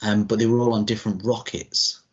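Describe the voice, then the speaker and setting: Low-voiced man, muffled podcast audio. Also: flat delivery, fairly quick. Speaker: podcast co-host. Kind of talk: casual chat about retro cartoon video games.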